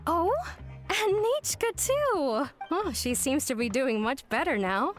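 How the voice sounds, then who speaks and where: A woman's voice: high-pitched, expressive, slow pace. A woman playing a friendly, cheerful villager in a video game, chirpy and obliging. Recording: background music.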